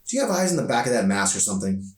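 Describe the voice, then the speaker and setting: A man's voice: medium pitch, big pitch swings, racing, voice pushed up, close to an everyday voice. Man reading as an awkward teenage boy, echoey room. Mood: excited, defensive. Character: awkward self-conscious teenage boy, earnest. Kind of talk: audiobook dialogue. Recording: echoey room, distant mic.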